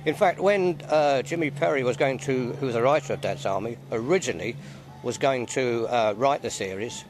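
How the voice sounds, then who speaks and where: A man talks at an even middle pitch, stumbling and repeating words as he explains local history. He is recorded outdoors at an event. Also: moderate pace. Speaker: interviewee, society member. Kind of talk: casual outdoor interview.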